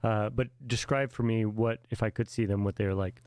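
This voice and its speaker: A man's voice: lowish voice, steady tone, normal speed, a little mellow, clear, resonant tone, dry recording. A man interviewing students at a portfolio event, asking friendly questions and offering encouragement, sometimes restarting his sentences.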